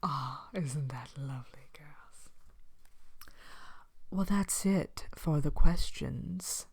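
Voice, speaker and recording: A woman playing a vain, haughty evil queen from a fairy tale, speaking softly and often whispering in an ASMR character monologue. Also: deep voice, drawn-out words, creaky, slightly rough, full character voice. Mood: weary, superior. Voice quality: soft-spoken, often breaking into whisper. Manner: haughty, languid, dryly wicked. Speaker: character host of an ASMR show.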